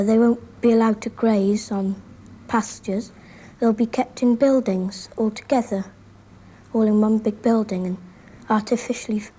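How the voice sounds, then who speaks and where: Teen british girl in an old 60s interview, mellow slightly husky voice, kinda hesitant. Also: medium pitch. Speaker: young interviewee. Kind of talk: thoughtful interview answer. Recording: 1960s archival interview recording.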